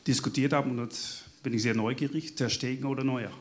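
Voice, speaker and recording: A man's voice: mid-range voice, steady tone, fairly quick, crowd noise. A man from the Netherlands with a Dutch accent, asking a question from the audience in a friendly, self-deprecating way.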